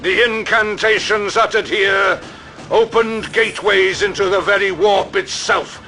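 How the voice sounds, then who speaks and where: A man's voice: sits high, fairly even intonation, a little slow, pushed and bright, faint music behind, heavily acted. A man playing a stern, commanding armored warrior lord in an audio drama, speaking in solemn, formal, archaic phrases. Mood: grim, rallying. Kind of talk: dramatic sci-fi war audio drama acting.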